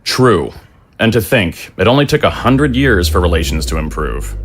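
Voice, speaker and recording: A man acts out a father searching for his son in an audio drama. His voice is low and his delivery is earnest and plain-spoken. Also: big pitch swings, moderate pace, slight background noise. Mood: wary.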